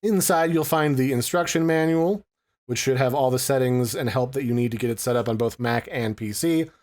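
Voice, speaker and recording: A man with a mid-pitched voice talks through a piece of tech gear in a casual, informal way. He explains things conversationally, like a reviewer walking viewers through an unboxing. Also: a little fast, a little mellow.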